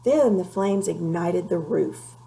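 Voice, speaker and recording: Lady reading a kids book out loud, slow and animated, lots of fry in her voice. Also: lowish voice, poor audio. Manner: measured, animated read-aloud delivery. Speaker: storybook reader. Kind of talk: expressive children's story narration.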